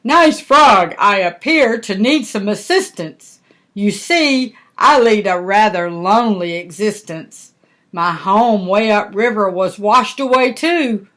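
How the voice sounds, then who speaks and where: A woman voicing a lonely, polite crocodile who pleads gently in a children's storybook. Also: medium pitch, lively intonation, slow pace. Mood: lonely, pleading. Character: lonely, polite homeless crocodile asking to stay.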